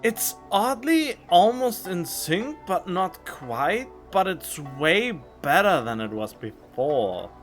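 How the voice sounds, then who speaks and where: A man's voice: high voice, very animated, takes it slowly, few pauses, fairly bright tone. A man giving casual, off-the-cuff commentary as he streams a video game. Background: game music.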